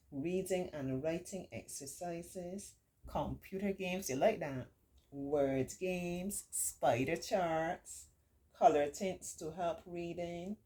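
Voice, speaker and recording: A middle-aged woman hosting a children's storytime, reading aloud and adding reassuring, encouraging teaching asides with drawn-out emphasis. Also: fairly low pitch, dark tone. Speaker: children's storytime host and remedial tutor.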